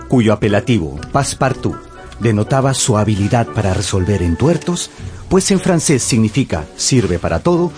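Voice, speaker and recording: Guy narrating a radio novel, dramatic n animated, medium pitch, music playing behind. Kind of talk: dramatic scripted storytelling for radio.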